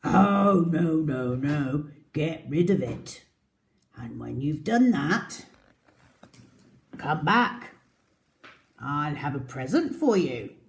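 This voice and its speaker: A big male crocodile from a storybook, acted out by a woman, speaks slowly and emphatically. He sounds grateful and softened, his pitch rising and falling in broad swings. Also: animated, a little hiss or hum, full character voice. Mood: emphatic.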